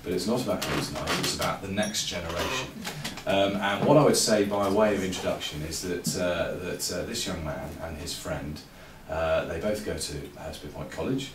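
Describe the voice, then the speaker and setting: A man's voice: low-pitched, moderate pace, few pauses, noisy background. A middle-aged man hosting a live storytelling evening, introducing the next act in wry, formal phrasing. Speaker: event host. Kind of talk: stage introduction. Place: small room at a live storytelling night. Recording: echoey room, far camera.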